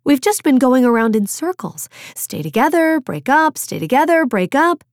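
A woman voicing a practical, gentle but firm teenage girl in an audiobook, frank and decisive. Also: high-pitched, very animated, normal speed, no room sound, clean studio sound, voice pushed up. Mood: exasperated. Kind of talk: audiobook character dialogue.

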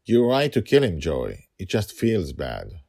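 A man's voice: low-pitched, huge pitch swings, measured pace, creaky, warm tone, breathy edge, no room sound, studio quality, heavily acted. A man voicing a grave, firm pirate father in a storybook reading, solemn, terse and insistent with his young daughter.

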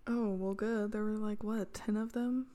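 A woman's voice: mid-range voice, fairly even intonation, moderate pace, mellow. A woman co-hosting a podcast in casual banter, chipping in with dry, blunt remarks. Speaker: podcast co-host.

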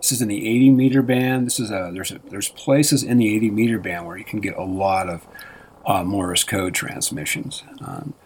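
A man's voice: fairly low pitch, normal speed, a little hiss or hum. A man co-hosting a radio show, chatting in a loose, rambling way with lots of fillers and restarts. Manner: meandering, many fillers and restarts. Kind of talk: rambling casual radio chat.

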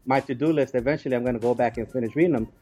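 A man with a mellow, relaxed voice answers as an interview guest. He explains things casually and somewhat haltingly, building lists of examples. Soft music sits faintly underneath. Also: mid-range voice, slightly rough.

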